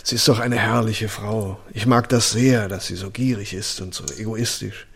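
A man playing a worldly, roguish ex-convict with a soft spot in a radio drama, talking casually in slang with a husky, gravelly voice. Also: on the low side, fairly even intonation, moderate pace. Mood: amused, affectionate. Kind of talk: naturalistic radio drama acting.

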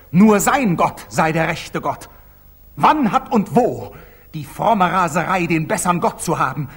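A proud young Knight Templar in a vintage radio drama. His voice is fairly high and husky, and he declaims with fervent, impetuous stage diction. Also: a bit bright, full character voice. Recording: 1940s radio recording.

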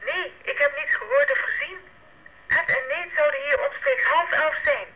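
A woman's voice: very high-pitched, big pitch swings, normal speed, full character voice. An actress playing a level-headed woman operating the radio at a mission post in a radio play. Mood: calm, reasoning. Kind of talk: Dutch radio drama acting. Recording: dull, lo-fi radio play recording.

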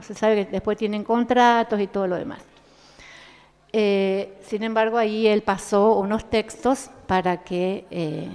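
A woman presenting a book at a live event, speaking casually with fillers and then reading a prologue aloud in measured phrases. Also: medium pitch, darkish tone, smooth. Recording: reverberant hall.

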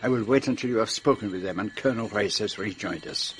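An actor playing a polite, observant foreign detective in a radio drama, with a French-speaking European accent and formal, precise phrasing. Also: male voice, fairly low pitch, fast, slight background noise, lightly acted. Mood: grave, assured. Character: polite, observant middle-aged male foreign detective, also narrating. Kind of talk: radio drama dialogue and narration.

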